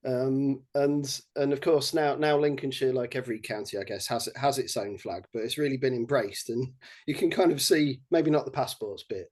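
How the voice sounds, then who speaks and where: A man with an English accent chatting as a podcast guest about his research project, talking haltingly with many fillers and restarts. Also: medium pitch, a little mellow. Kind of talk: casual podcast chat.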